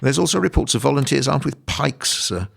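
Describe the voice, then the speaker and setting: Casting: male actor as dutiful, apologetic military underling, gravelly voice, radio drama briefing. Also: mid-range voice, animated, a little fast, a bit bright, heavily acted. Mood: dutiful, factual. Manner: hesitant, apologetic, dutifully factual. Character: deferential male subordinate briefing his superior officer. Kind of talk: audio play acting.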